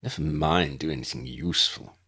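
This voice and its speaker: A man's voice: rumbling low, pushed and bright, breathy edge, full character voice. A man voicing a cold, disdainful, aristocratic wizard father who sneers smugly in a fantasy audiobook. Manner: disdainful, smug, drawn-out delivery.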